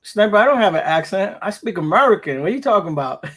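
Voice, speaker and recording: A man with a Minnesota accent hosts a live stream and trades crude jokes with his guests. His voice sits a little high. He talks loosely, in plain casual banter. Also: big pitch swings, fast talker, a bit bright. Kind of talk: casual chat.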